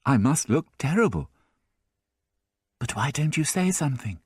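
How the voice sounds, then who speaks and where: A young woman overflowing with happiness, soft and eager, as a male narrator puts her on. Her voice sits higher and quieter than his own, with a lively lilt. Recorded dry and close. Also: normal speed, slightly rough, no room sound. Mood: overjoyed. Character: young woman, breathless with joy and love.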